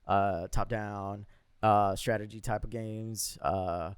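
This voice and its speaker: A man's voice: lowish voice, fairly even intonation, slow pace, smooth, dry recording. A young man co-hosting a podcast, chatting loosely and reading out a list with laughs and swearing. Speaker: podcast co-host. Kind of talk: casual chat.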